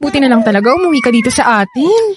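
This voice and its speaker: A bubbly, doting young godmother in a radio drama. Her voice is very high and her manner gushing and chatty. Loud noise fills the background. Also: animated, very fast.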